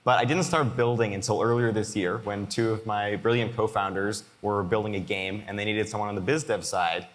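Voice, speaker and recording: A man in his twenties from the Boston area moderating a conference panel, halting with many fillers. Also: lowish voice, fairly bright tone. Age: 20s. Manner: hesitant, many fillers and restarts. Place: conference hall. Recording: long hall reverb.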